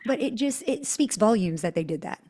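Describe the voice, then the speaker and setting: A woman's voice: medium pitch, animated, brisk, mellow. A woman hosting a podcast interview, outspoken and chatty, who laughs often and loses her train of thought. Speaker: podcast host. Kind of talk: casual interview chat.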